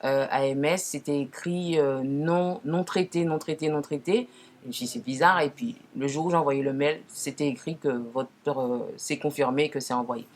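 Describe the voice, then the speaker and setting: A woman with a naturally deep voice, lowered further by a cold, chats casually to viewers as she presents makeup, often correcting herself. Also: fairly even intonation, normal speed. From Martinique. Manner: informal, frequent self-corrections. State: deeper, hoarse-sounding from a cold. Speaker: makeup tutorial host.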